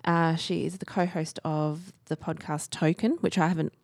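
A woman with an Australian accent co-hosting a book podcast, giving steady, informative background with frequent "um"s. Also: low-pitched, moderate pace, gravelly, dry recording, clean studio sound. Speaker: podcast co-host. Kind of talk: casual book chat.

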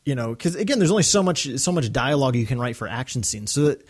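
A man's voice: medium pitch, sing-song, fast, breathy edge. A man with a mid-range voice chats as a podcast co-host. He is enthusiastic and loose, rambling as a fan does through his thoughts. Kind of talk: casual fan discussion.

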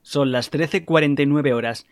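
Higher-voiced, courteous man playing an AI assistant. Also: no echo, studio quality, lightly acted. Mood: helpful, formal. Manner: formal, measured, courteous. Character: polite, helpful male AI virtual assistant. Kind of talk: scripted audio drama dialogue.

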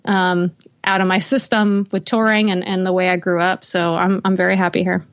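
A woman's voice: lowish voice, level tone, normal speed, muffled recording. An American woman, a guest musician, talking casually and easily, with some fillers and laughter. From United States. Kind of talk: casual interview chat.

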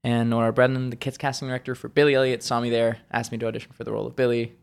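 A young man from Switzerland, a podcast guest talking in a relaxed way and laughing easily. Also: mid-range voice, racing, creaky, clean tone. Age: young adult. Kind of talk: casual chat.

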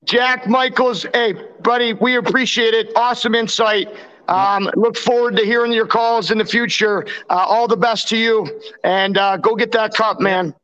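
A man's voice: high voice, steady tone, normal speed, a bit bright. A man co-hosting a podcast in casual chat, heard through muffled, lo-fi audio. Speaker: podcast co-host. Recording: muffled, band-limited sound.

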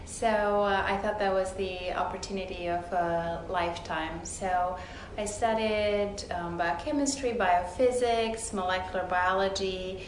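A middle-aged woman from Romania with a Romanian accent, a pediatrician answering interview questions haltingly with many pauses. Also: medium pitch, fairly even intonation. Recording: echoey room with faint noise.

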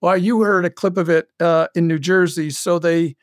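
A man talking casually as the creative guest in an interview, telling stories in an animated, self-interrupting way and acting out bits of dialogue. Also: on the high side, normal speed, no room sound, studio quality. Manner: animated, self-interrupting storyteller who acts out quoted dialogue. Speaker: guest, audio drama creator and writer.

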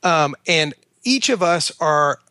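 Man, bright edgy voice, dry close sound, hedging, filler-heavy. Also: on the high side, animated, takes it slowly, clean tone, no echo. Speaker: guest author. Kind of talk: podcast conversation.

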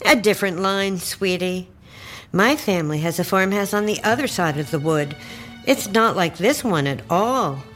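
An actress playing a sly, folksy older great-aunt in an audio drama, coaxing and condescending with sweet endearments. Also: female voice, medium pitch, moderate pace, fairly bright tone, soft background music, lightly acted. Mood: breezy, wry.

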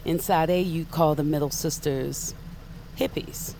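A woman's voice: low voice, fairly even intonation, a little slow, mellow, low-quality recording. Tough-love witch in a fantasy audio drama, blunt n earnest, bit of background noise. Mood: firm, concerned. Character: no-nonsense witch mentor, blunt, exasperated and protective.

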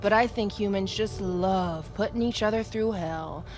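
A woman's voice: mid-range voice, unhurried, close to an everyday voice. Woman playing young army recruit girl, defiant and sarcastic, haunted, audio drama, a bit of music behind. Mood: earnest, jaded. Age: young adult. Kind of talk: naturalistic audio drama acting.

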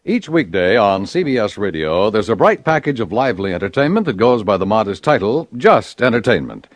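A man's voice: on the low side, sing-song, brisk. A man with an American accent making polished, emphatic scripted announcements in 1950s radio style. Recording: dry 1950s radio broadcast.

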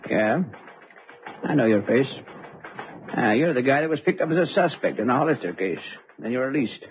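An American actor playing a blunt, jaded newspaper crime editor, brisk and slangy, in old-time radio drama style. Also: male voice, medium pitch, expressive, close to an everyday voice. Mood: blunt, confiding. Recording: muffled, hissy old radio recording.

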